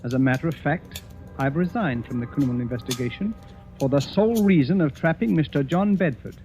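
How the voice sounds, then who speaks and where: An actor playing a self-satisfied, theatrical master detective, pompous and deliberate, in old-time radio horror style. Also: male voice, a little high, darkish tone, natural voice. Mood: smug, commanding. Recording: muffled vintage radio recording, faint music.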